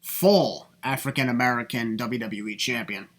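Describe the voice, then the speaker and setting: A man's voice: mid-range voice, moderate pace, a bit bright. A young man hosting a casual podcast discussion, talking emphatically and circling back to the same phrase to hold the floor. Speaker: podcast host.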